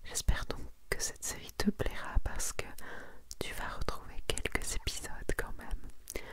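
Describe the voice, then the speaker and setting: A woman whispering in a breathy, intimate ASMR style, casually presenting her collection to one listener. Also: unhurried, a bit bright. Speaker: ASMR video creator presenting a manga collection.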